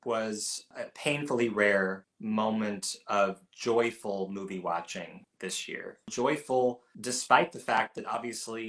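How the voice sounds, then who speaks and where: A man co-hosting a film podcast, talking things through in a thoughtful, measured way. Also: on the low side, a bit bright. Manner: thoughtful, measured, with frequent restarts. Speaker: podcast co-host. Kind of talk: casual film review chat. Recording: roomy echo.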